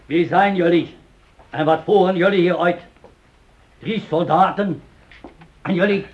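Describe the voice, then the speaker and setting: Radio play villain: harsh lieutenant, higher-pitched commanding bark, muffled lo-fi sound. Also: male voice, measured pace. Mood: menacing. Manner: barking, interrogating. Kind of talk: radio drama acting. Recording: dull lo-fi radio play recording.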